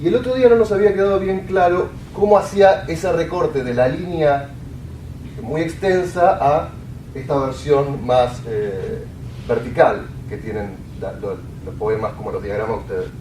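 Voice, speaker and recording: A man's voice: a little high, darkish tone. Hesitant man asking from the audience. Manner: halting, searching for words, many "digamos" fillers. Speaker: audience member asking a question. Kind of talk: question from the audience. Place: lecture room. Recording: roomy hall, speaker far from the mic, faint hiss.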